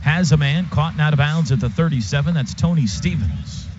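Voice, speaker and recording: A man's voice: on the high side, animated, a little fast. A man doing live football play-by-play on radio in clipped, punchy phrases. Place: radio booth at a football stadium. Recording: dull lo-fi radio broadcast, clear stadium noise.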